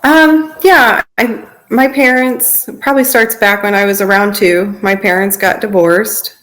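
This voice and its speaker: Mid-pitched woman telling her personal story. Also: fairly even intonation, a little slow, a bit bright, low-quality recording. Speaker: podcast guest sharing personal testimony. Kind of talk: informal personal storytelling in an interview.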